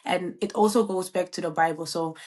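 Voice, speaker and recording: A woman's voice: lowish voice, fairly even intonation, fairly quick, a little mellow. Bubbly woman in her twenties. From Netherlands. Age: 20s. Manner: bubbly, frequent ums and uhs. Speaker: guest, event planner. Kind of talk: conversational interview answers.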